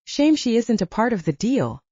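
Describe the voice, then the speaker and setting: A woman's voice: fairly high pitch, sing-song, moderate pace, mellow, breathy edge, no room sound, dull audio, pitched above the performer's own voice. A woman voicing a smug male werewolf alpha villain in an audiobook, taunting and goading. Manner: sneering, goading.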